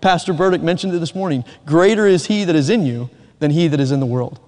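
A man's voice: on the high side, fast talker, a little mellow. A man with a Southern American accent preaching a Sunday evening sermon, earnest and emphatic, with joking asides. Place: church sanctuary. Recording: reverberant church hall.

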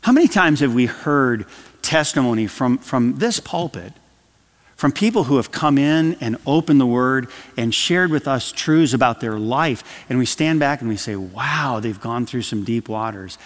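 A man preaching a sermon from the pulpit, swinging between loud emphatic lines and soft, dropped phrases. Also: mid-range voice, expressive, normal speed. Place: church sanctuary. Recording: long hall reverb.

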